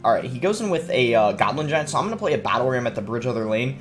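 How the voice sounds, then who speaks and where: Young male streamer, mid-pitched, congested from a cold, easygoing play-by-play over soft game music. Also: racing. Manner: relaxed, explanatory play-by-play with many fillers. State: sore throat and stuffy nose from a cold. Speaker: gaming streamer. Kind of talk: live gameplay commentary. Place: indoor room at a gaming desk.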